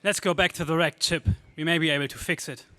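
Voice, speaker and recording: A brave young space hero from a radio adventure, played by a man. He is earnest and resolute, with a bright, slightly high voice recorded dry. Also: steady tone, fast talker, dry recording. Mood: brisk, steady. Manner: earnest, stagey. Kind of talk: 1930s space serial radio acting.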